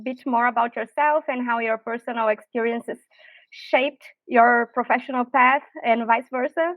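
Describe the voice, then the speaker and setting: A woman's voice: on the high side, fairly even intonation, moderate pace, no echo. A woman hosting an interview, asking polite, welcoming questions over a video call. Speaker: host. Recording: phone-like narrow sound.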